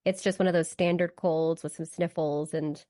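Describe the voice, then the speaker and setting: A woman co-hosting a podcast with a congested voice from a cold, chatting and explaining in a relaxed way. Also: low voice, normal speed, no echo, clean studio sound. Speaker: podcast co-host. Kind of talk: casual podcast chat.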